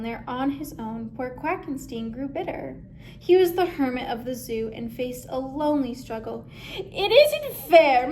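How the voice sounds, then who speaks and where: A woman reading a picture book aloud expressively, with measured, dramatic phrasing. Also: sits high, a little mellow, a little hiss or hum. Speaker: story reader.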